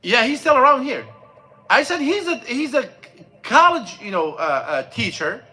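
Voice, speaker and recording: Bright-voiced man telling a story. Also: very high-pitched, sing-song. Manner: storytelling, halting with many fillers and restarts. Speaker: imam. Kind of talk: mosque class talk. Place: mosque classroom.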